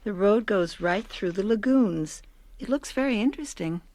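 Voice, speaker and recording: An actress playing a reflective forty-one-year-old American wife and mother, narrating and speaking in a radio drama with measured delivery. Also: mid-range voice, expressive, a little mellow, natural voice. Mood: wistful, insistent.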